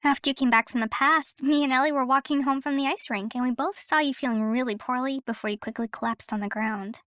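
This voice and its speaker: A woman's voice: high-pitched, steady tone, fast, fairly bright tone, no echo, clean studio sound. A woman voicing a kindly, reassuring young girl in a cartoon. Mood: informative. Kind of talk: cartoon voice acting.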